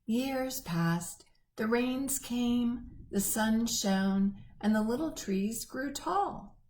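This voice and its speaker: A woman reading a picture book aloud to children, gently and expressively. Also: a little high, expressive, drawn-out words, a little hiss or hum, rough recording. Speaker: church children's storyteller.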